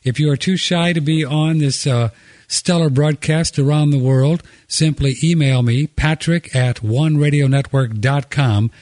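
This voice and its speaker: A man's voice: a little high, a little slow, a little mellow, no room sound, studio quality. A man hosting a radio talk show, chatty and joking as he gives out phone numbers and introduces his co-host. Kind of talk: casual radio chat. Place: radio studio.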